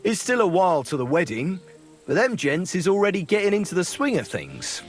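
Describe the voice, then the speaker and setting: An actor playing a helpful common castle guard in a video game, with a folksy, cautioning manner. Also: male voice, high voice, wildly swooping pitch, fairly quick, faint music behind, heavily acted.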